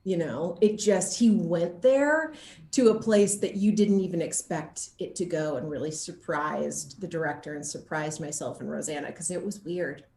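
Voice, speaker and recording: A woman's voice: lowish voice, normal speed, warm tone, roomy sound, slight background noise. A woman chatting casually as an interview guest, gushing and emphatic in her enthusiasm.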